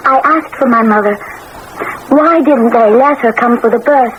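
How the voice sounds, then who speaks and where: A woman's voice: on the high side, normal speed, close to an everyday voice. A woman playing a grieving, lonely young mother of Russian royalty in a radio drama, plaintive and pleading. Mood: miserable pleading. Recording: muffled, noisy old radio broadcast.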